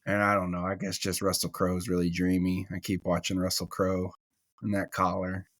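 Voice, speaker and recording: Deadpan, low-voiced male guest. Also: moderate pace, no echo. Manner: dry, deadpan humor. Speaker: podcast guest, ordination candidate. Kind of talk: casual podcast conversation.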